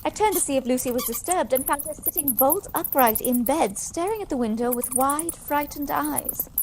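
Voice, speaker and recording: A woman's voice: high-pitched, normal speed, a little mellow, slight background noise. A woman in a radio play acting an earnest, anxious, caring young Victorian lady. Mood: frightened. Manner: earnest, solicitous.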